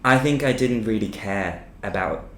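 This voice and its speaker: A man's voice: fairly low pitch, moderate pace, a bit bright, slight background noise. A man from Austria co-hosting a casual podcast, talking with many ums and restarts in a small office. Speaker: podcast co-host. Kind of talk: casual chat. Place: small echoey office by a street. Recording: echoey room.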